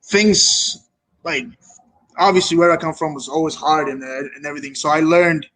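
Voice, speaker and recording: A young man from Kenya with a fairly high voice, talking as a guest in a casual interview. He is earnest and informal, and the sound is close and dry. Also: normal speed, fairly bright tone, no room sound, rough recording. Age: young adult. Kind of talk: casual chat.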